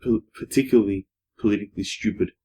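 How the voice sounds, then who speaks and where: Soft-spoken man, husky. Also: lowish voice, fairly even intonation, quick pace. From Australia. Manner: thoughtful, hesitant, with restarts, trailing off to a quiet finish. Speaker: podcast guest. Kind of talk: casual podcast discussion.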